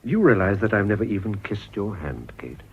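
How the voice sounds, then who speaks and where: An actor with an English accent playing an earnest, ambitious Edwardian politician in a period radio drama. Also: male voice, on the low side, sing-song, a little fast, slightly rough, full character voice. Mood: tender, pleading. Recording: dull, slightly muffled old radio recording.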